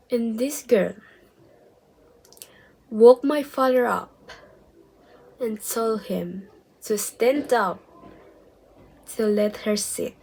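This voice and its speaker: A young woman vlogging, telling a personal story casually, hesitant and full of restarts, dropping into soft whispered asides. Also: on the high side, breathy edge, poor audio.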